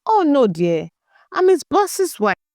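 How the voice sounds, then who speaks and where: A woman narrator plays a kind, motherly married woman in a told story. She advises patiently and gently in a fairly high, expressive voice. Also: slow pace, no room sound, clean studio sound, full character voice. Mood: placating.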